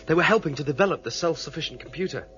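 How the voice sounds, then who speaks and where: A man's voice: a little high, animated, very fast, fairly bright tone, highs cut off, slight background noise. An actor playing a defiant, wary young male rebel in a radio drama.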